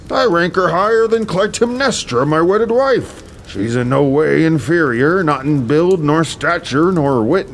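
A man's voice: a little high, creaky, slight background noise. A man playing an arrogant, wrathful king and army commander in an epic audio drama, imperious and menacing in his declamation. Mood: furious, accusing.

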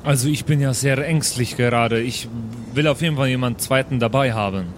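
A man's voice: mid-range voice, fairly quick, slight background noise. A man improvising in character as a player in a tabletop role-playing game. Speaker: player in a pen and paper role-play podcast.

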